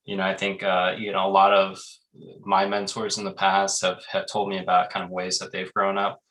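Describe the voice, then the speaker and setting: Male panelist, low bright voice, hesitant and reflective, video call audio. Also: completely flat delivery, slight background noise, rough recording. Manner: thoughtful, halting, many fillers and restarts.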